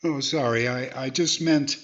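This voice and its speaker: A man's voice: mid-range voice, unhurried, a bit bright, natural voice. Man as mild straight man, dull recording. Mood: apologetic. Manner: polite, slightly hesitant. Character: mild, placating straight-man ventriloquist, adult male employer. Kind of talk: 1940s radio comedy acting.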